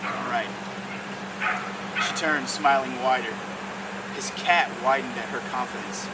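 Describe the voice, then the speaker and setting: A man's voice: medium pitch, a little slow. Man reading aloud, far from the mic, very noisy. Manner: breaks into laughter while reading. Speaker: co-host reading a mad-libs story aloud. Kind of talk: amused story reading with casual banter. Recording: very noisy, distant mic, steady background hum.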